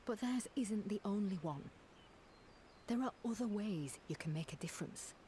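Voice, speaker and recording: Mid-pitched actress playing a blunt fantasy game woman; colloquial, chiding, faint noise behind. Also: expressive, normal speed, warm tone, natural voice. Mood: scolding. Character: blunt, no-nonsense female friend in fantasy world. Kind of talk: dramatic video game voice acting.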